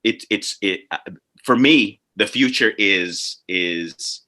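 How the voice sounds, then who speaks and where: A man on a video call speaking casually as a panelist, thinking aloud in a halting way with many repeats. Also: on the low side, bright, forceful tone. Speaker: panelist, theatre artist. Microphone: video call microphone.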